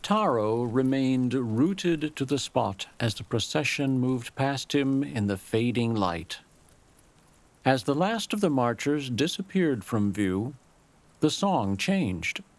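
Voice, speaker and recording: A man reading a scripted dramatic story as a storyteller, in a measured, literary manner. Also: mid-range voice, expressive.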